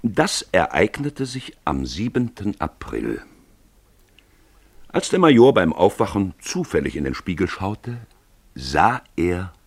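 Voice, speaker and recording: Very deep, animated male storyteller. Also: vocal fry, slightly rough, dry recording. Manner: dramatic storytelling. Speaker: narrator. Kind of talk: scripted literary narration for a radio drama.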